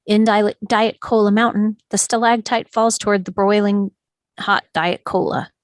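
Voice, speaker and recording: A woman's voice: medium pitch, fairly even intonation, moderate pace, no room sound. Woman narrating action, dry close sound. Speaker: stage directions narrator. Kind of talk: scripted narration at a table read.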